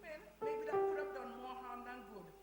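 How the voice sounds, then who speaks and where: A woman's voice: high-pitched, fairly quick, natural voice. A woman playing a blunt, exasperated older Jamaican great-grandmother in a family drama, arguing emphatically and reproachfully. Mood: scornful. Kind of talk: live radio soap acting. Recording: roomy hall echo, music behind.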